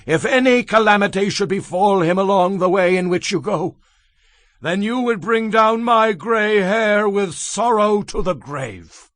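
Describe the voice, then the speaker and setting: An actor playing a grieving, elderly Hebrew patriarch in a dramatized scripture reading, mournful and emphatic in manner. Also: male voice, sits high, animated, unhurried, fairly bright tone, no room sound, clean studio sound. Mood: anguished, bitter. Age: older. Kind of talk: dramatized scripture audio acting.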